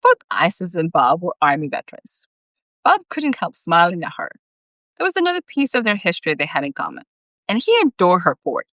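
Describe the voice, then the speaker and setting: A woman reads fiction aloud for a live audience online. Her delivery is dramatic and highly animated, with wide swings in pitch and a dry, close sound. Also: a little fast, no echo. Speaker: author reading own book aloud, host. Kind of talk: expressive storybook reading on a live stream.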